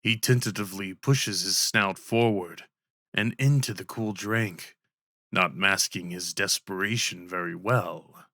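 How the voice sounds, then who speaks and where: A man reading a scripted fantasy roleplay story in a measured, deliberate storytelling manner, in an ASMR style. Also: deep voice, bright, forceful tone, no room sound, clean studio sound. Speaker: audio roleplay narrator.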